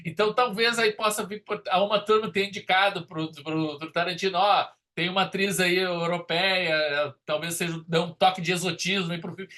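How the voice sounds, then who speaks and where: A man's voice: sits high, fairly even intonation, moderate pace, hardly any pauses, pushed and bright. A man with a southern Brazilian accent in a casual, opinionated film discussion, telling stories in an emphatic, colloquial way as a podcast host.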